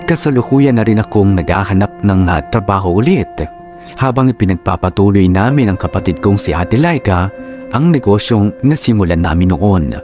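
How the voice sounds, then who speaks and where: A man narrating a letter for a radio drama in measured phrases, with sentimental, dramatic delivery. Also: fairly low pitch, lively intonation, darkish tone. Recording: clear music bed behind.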